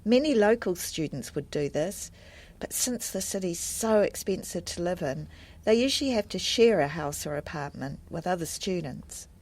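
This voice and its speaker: A woman acting a helpful, friendly adviser in a scripted listening-test dialogue, speaking in a measured, explanatory way. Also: on the low side, lively intonation, a little mellow. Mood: helpful, calm.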